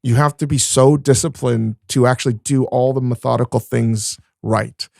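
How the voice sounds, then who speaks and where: A man hosting a casual podcast talk, his voice gravelly with a fry at the ends of phrases. He talks frankly and with animation, cursing freely. The sound is dry and close, with no room echo. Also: mid-range voice, fairly even intonation, moderate pace, darkish tone. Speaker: podcast host and chef.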